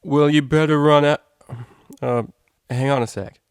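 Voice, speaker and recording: A brash young unicorn DJ mare from a storybook, acted out by a man. The talk is slangy and teasing, full of exclamations and quick to fluster, with the pitch pushed up in a dry recording. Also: fairly even intonation, clear, resonant tone, no echo. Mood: mock-serious prank.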